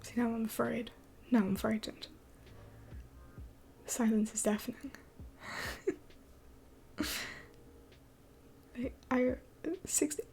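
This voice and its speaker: A woman's voice: on the high side, steady tone, slow, vocal fry, long pauses, warm tone, slight background noise. A woman in her twenties hosting a late-night live Q&A, rambling with many fillers and often dropping to a whisper. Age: 20s. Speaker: livestream host.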